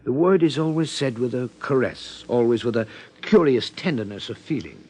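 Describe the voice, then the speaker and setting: Man acting a halting, husky, scholarly psychiatrist. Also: mid-range voice, lively intonation, darkish tone, close to an everyday voice. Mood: earnest, absorbed. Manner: halting, formal, deliberate phrasing. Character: earnest, hesitant, scholarly male psychiatrist. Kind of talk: 1950s radio drama acting.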